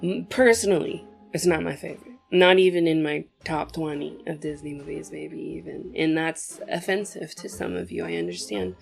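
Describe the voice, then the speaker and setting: A woman's voice: on the low side, gravelly, a little mellow. A young woman hosting a casual movie commentary, chatty and opinionated, talking straight to viewers while sniffly from a cold.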